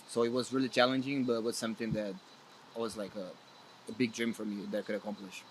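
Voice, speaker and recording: A man's voice: on the low side, level tone, quick pace, a little hiss or hum, low-quality recording. A man from Brazil with a Brazilian accent, a guest player speaking earnestly in a casual interview, often restarting his sentences. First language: Portuguese. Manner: earnest, hesitant with many restarts.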